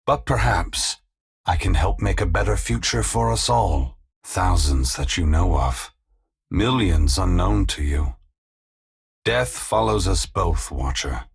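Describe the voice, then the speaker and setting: An actor playing an ancient, solemn, gentle and remorseful male god in a video game, speaking in a slow, measured, philosophical way with a deep, gravelly, husky voice. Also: heavily acted. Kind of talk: scripted video game character dialogue.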